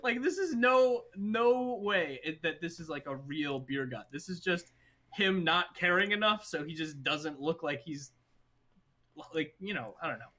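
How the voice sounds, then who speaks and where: A young man chatting casually in a group, with an animated, emphatic and slangy way of talking. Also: on the high side, a little slow. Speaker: podcast host.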